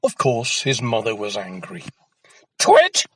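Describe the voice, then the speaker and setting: A man narrating a children's folktale as an expressive storyteller. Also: medium pitch, unhurried, breathy edge, dry recording.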